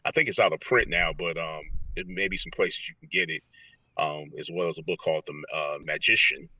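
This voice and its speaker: A man's voice: low voice, brisk, bright, forceful tone, husky, no echo, far from the mic, dull audio. A man speaking as a motivational guest on a remote call, explaining conversationally and earnestly, repeating phrases for emphasis. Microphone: earpiece on a remote call.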